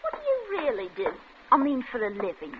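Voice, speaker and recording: A woman's voice: high-pitched, wildly swooping pitch, fairly quick. An actress playing a pert, teasing young working-class London woman with a Cockney accent in an old-time radio drama. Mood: suspicious, challenging. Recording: muffled old radio recording, faint noise.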